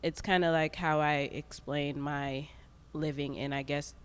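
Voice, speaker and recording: Woman in her twenties, from Saint Lucia, smooth, clear and relaxed panel speaker. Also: low-pitched, unhurried. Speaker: artist on a panel. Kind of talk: casual self-introduction. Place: art gallery.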